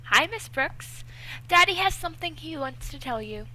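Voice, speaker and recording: Casting: actress for a scheming teen daughter in radio comedy; high, forceful, earnest and wheedling. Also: female voice, expressive, normal speed, exaggerated voice, low-quality recording. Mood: chirpy, coaxing. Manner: earnest, coaxing, bossy. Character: perky, scheming teenage schoolgirl, the principal's daughter. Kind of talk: old-time radio comedy acting.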